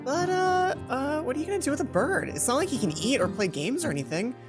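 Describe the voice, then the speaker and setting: A woman puts on an eager young student girl from a visual novel game. She is lovestruck and bubbly, speaking emphatically in a raised pitch. Music plays behind the voice. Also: sing-song, quick pace. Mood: excited.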